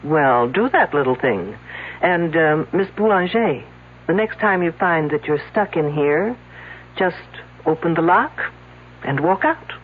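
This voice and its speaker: A woman's voice: low-pitched, expressive, a little slow, slight background noise. An actress playing a brash, shrewd woman detective in a radio drama, sardonic and commanding. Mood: brisk, impatient. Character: brash, wisecracking female detective, shrewd and sarcastic. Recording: muffled old radio broadcast recording.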